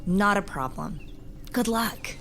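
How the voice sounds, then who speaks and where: A woman playing a wise, hospitable and grave keeper of magical lore, telling things deliberately with old-fashioned phrasing in an audio drama. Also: mid-range voice, lively intonation, fairly bright tone, a little hiss or hum. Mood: accepting.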